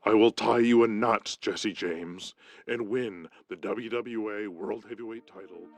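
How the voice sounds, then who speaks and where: A man's voice: lowish voice, unhurried. A man playing a boastful, threatening pro wrestler delivering a challenge in a 1980s-style promo for an audio drama. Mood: menacing boast. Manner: challenging, swaggering.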